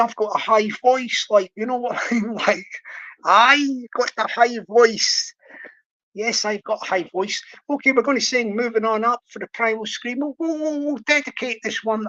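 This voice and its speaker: A man's voice: very high-pitched, pushed and bright, dull audio. A man hosting his own channel, chatting and teasing viewers in a rambling, jokey way between a cappella songs. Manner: jokey, rambling, teasing banter with many restarts.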